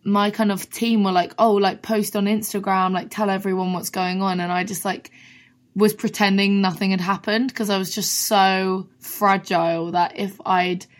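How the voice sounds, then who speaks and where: A young woman from London with a husky voice talks easily as an interview guest. Her speech is casual and full of "like", with a mid-range pitch. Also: level tone, normal speed. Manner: chatty, peppered with "like". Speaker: singer-songwriter guest.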